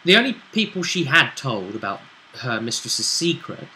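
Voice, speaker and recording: A man acting as game master, recapping a tabletop role-play session in a casual, halting storytelling way. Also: mid-range voice, big pitch swings, sharp tone.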